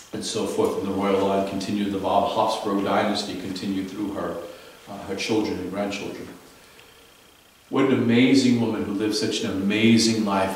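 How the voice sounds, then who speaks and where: Priest, 50s, low voice, chatty homily storytelling, echoey chapel, picked up from across the room. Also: male voice, recorded from a distance, slight background noise. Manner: conversational storytelling, fillers and restarts. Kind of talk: daily homily. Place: small chapel. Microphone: far camera.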